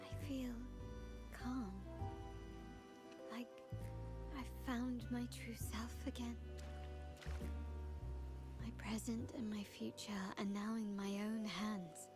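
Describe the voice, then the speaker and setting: A woman playing a poised, teasing young crime-family heiress in a video game, speaking in a measured, elegant way. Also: a little high, steady tone, loud music behind the voice. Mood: calm, hopeful. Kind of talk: scripted game dialogue.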